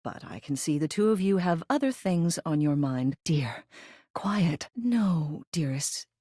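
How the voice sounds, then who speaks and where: Genteel older female game character, animated intonation, dry wit, composed theater-critic manner, close dry recording. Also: sits low, unhurried, husky, no room sound, exaggerated voice. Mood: dry, courteous. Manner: refined, composed, dryly witty theater critic. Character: genteel, wry older female ceiling with a chandelier, theater lover. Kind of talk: video game voice acting.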